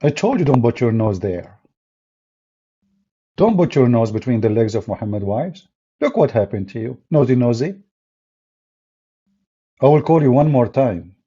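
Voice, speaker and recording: Husky-voiced man hosting a livestream. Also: medium pitch, expressive, moderate pace, pauses often, warm tone. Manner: mocking, sarcastic, non-native English phrasing. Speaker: livestream host. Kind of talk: livestream talk with callers. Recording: dull lo-fi stream audio.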